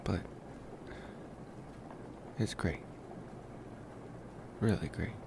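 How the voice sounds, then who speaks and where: A man voicing an affectionate young college vampire boyfriend in an intimate ASMR roleplay, speaking in a soft murmur that dips toward whispers, gentle and halting with long pauses. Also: sits low, vocal fry, noisy background, exaggerated voice. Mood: tender, blissful.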